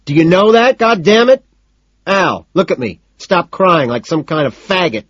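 A man's voice: medium pitch, big pitch swings, normal speed, husky, no echo, dull audio, pitched above the performer's own voice. A man voicing a smug, callous wealthy man with a volatile temper in an audiobook, condescending and emphatic. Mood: enraged. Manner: condescending, emphatic, swinging from soothing to scolding.

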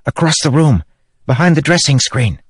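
A man voicing a soft, high little spirit girl. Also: animated, normal speed, no echo, studio quality. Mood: urgent. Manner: soft, shaky, breathy. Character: small female spirit, gentle and frightened. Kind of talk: audiobook character voice.

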